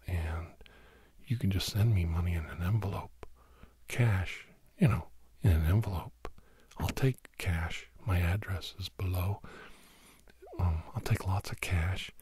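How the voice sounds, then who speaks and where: Middle-aged American male, low and very breathy whisper, halting conspiratorial ASMR podcast rambler, close-miked. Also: a little mellow, no echo. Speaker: podcast host. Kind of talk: whispered ASMR comic monologue. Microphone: close-up microphone, monitored on headphones.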